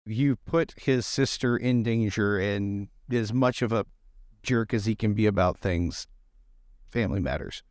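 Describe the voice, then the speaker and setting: A man's voice: lowish voice, unhurried, darkish tone, dry recording, studio quality. A man playing a tabletop roleplaying game, talking casually and improvising as he goes.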